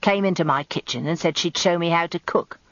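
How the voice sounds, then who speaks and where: A woman's voice: lowish voice, fairly quick, no room sound, natural voice. A woman voicing a blunt, resentful working-class English housekeeper who is heavy and gasps as she grumbles, in a radio drama. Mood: scornful, indignant. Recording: dull lo-fi radio recording.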